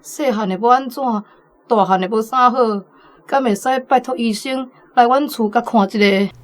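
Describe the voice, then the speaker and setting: A woman's voice: fairly high pitch, moderate pace, lightly acted. An actress playing a desperate mother begging a doctor to save her child, sobbing and pleading in a radio drama.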